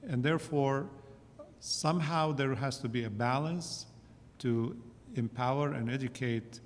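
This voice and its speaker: A man speaking in a measured, thoughtful way as a panelist in a discussion. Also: mid-range voice. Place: church sanctuary. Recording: long church-hall reverb, faint noise.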